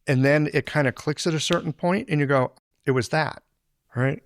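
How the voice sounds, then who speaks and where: A man hosting a podcast interview, reflective and relaxed in his questions. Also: on the high side, moderate pace. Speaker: podcast host. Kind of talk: interview conversation.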